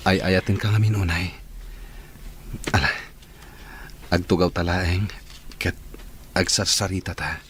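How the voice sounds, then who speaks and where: A man's voice: low-pitched, steady tone, unhurried, long pauses, a little hiss or hum. A man acting a sincere, apologetic young man in love in a radio drama. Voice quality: soft, apologetic.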